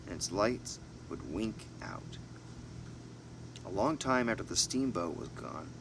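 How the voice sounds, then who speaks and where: A man reading a storybook aloud in an informal way, sometimes stumbling and correcting himself. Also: deep voice. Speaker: amateur audiobook reader. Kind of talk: storybook narration with asides. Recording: noisy home recording with steady hum.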